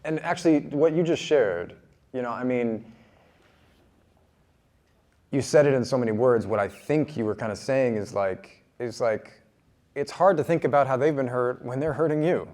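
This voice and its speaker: A man's voice: mid-range voice, a little mellow. Give me a man moderating a discussion, thinking aloud with hesitant restarts. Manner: halting, full of restarts when musing. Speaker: discussion facilitator.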